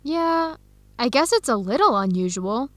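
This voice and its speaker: A young woman playing an earnest researcher searching for her birth family in an educational radio drama, speaking clearly and conversationally. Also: high-pitched, animated, unhurried, no room sound. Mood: excited, matter-of-fact. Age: young adult.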